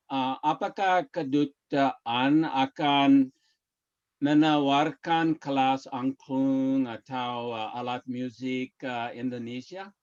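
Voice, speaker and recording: A man's voice: medium pitch, a little mellow, clear, resonant tone, muffled recording. A man from the United States, a native English speaker, asking a question in hesitant learner Indonesian with many ums and uhs.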